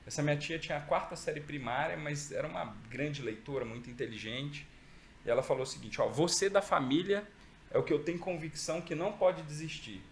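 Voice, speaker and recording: A middle-aged man with a Minas Gerais accent, a podcast guest telling stories in a relaxed, reflective way. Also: on the high side, brisk, slight background noise. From Brazil, raised in Minas Gerais. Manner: relaxed, reflective storytelling with frequent "né?". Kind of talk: interview conversation.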